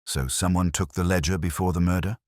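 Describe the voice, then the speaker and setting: A man voicing a puzzled male police sergeant who keeps asking questions, with a husky voice. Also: low-pitched, steady tone, fairly quick, darkish tone, no echo, studio quality, close to an everyday voice.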